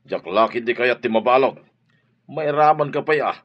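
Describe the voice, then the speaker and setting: A man's voice: mid-range voice, moderate pace, dull audio, natural voice. A man playing a wary, argumentative, grumbling husband in an audio drama. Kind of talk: Ilocano audio drama acting.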